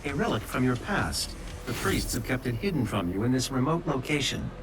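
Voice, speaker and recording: A man's voice: mid-range voice, very animated, brisk, lightly acted. An actor playing a composed male artificial intelligence guide in a video game, giving matter-of-fact instructions. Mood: composed, instructive. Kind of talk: video game mission briefing. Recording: distant echoing voice under loud game noise.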